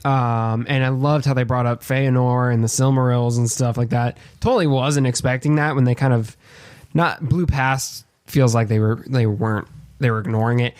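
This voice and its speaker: A man's voice: medium pitch, steady tone, normal speed, a little mellow, dry recording. Easygoing man, close dry sound. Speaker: podcast co-host. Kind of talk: casual fan discussion.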